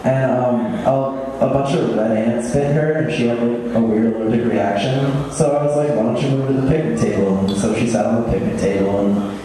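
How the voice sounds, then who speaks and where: A man performing a stand-up set at an open mic in a bar, talking in a deadpan, hesitant way with plenty of ums. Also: mid-range voice, brisk, recorded from a distance. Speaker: stand-up comedian. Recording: echoey room mic'd from afar, crowd noise.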